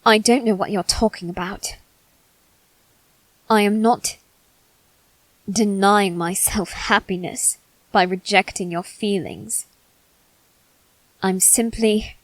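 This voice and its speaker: A haughty, brooding young aristocrat, voiced by a woman in an ASMR roleplay drama. The delivery is slow and formal, with weighty pauses between phrases. The sound is dry and close, with no room around it. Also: mid-range voice, dry recording, poor audio. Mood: cold, dismissive. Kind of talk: dramatic ASMR roleplay monologue addressed to listener.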